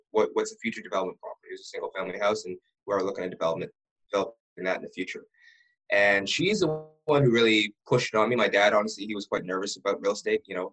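A man's voice: fairly low pitch, fairly bright tone, poor audio. A man from Ottawa, a real estate broker who is a podcast guest, telling his backstory in a rambling way with many restarts. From Ottawa, Canada. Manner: rambling storyteller who often restarts words. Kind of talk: casual podcast interview.

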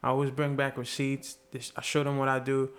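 A man's voice: medium pitch, monotone, a little fast, a bit bright. A young man from Haiti, a podcast guest, telling a story earnestly in casual conversation and swearing freely.

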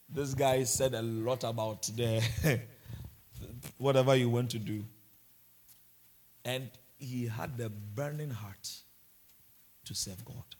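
A man's voice: fairly high pitch, gravelly, slightly rough. A man from Ghana with a Ghanaian accent, preaching a sermon and mixing in humorous asides. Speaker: pastor.

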